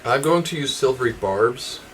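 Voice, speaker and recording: A man's voice: medium pitch, animated, normal speed, fairly bright tone, low-quality recording. A man talking casually as a player at a tabletop role-playing game. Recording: noticeable background noise with clicks.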